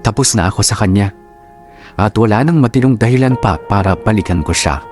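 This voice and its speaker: A man narrating a letter for a radio drama in measured phrases, with sentimental, dramatic delivery. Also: fairly low pitch, darkish tone. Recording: clear music bed behind.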